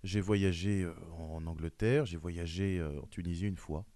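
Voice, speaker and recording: Man, low voice, quick talker, dry close sound, insistent debate guest. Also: steady tone, mellow, dry recording. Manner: insistent, rising when challenged. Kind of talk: heated debate.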